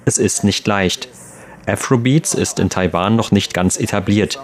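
A man reading a translated interview as a steady, plain voice-over. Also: on the low side, measured pace.

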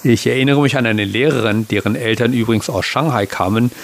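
Man, interviewer, mid pitch, calm and steady, gives background before his question. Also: fast, vocal fry. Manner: calm, framing context before asking.

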